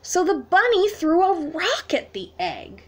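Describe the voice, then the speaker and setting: High-voiced woman reading slowly to children. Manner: expressive, simple explanations addressed to young children. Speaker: children's music teacher. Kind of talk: children's storytime read-aloud. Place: small room at home, indoors.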